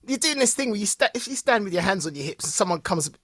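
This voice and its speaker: A man's voice: high voice, fast, breathy edge, no echo, studio quality. A man in his forties co-hosting a podcast, telling stories in casual chat and laughing often. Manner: laughs often, animated storytelling. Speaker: podcast co-host.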